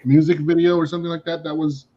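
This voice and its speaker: A man with a slightly high voice chats casually as part of a panel. His audio is a bit dull and lo-fi. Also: little pitch movement, quick pace, dark tone. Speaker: panel member. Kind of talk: casual chat.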